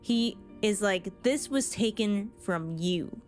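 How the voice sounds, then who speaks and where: A woman's voice: medium pitch, animated, slow pace, faint music behind. A woman streamer giving casual, reflective commentary on a game, analytical and happy to swear.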